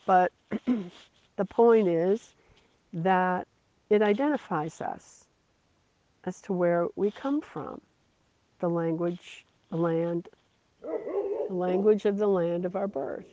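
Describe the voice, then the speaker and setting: A preacher giving a slow, earnest devotional sermon, repeating phrases for emphasis. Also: mid-range voice, animated, mellow, no echo. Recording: dull lo-fi recording.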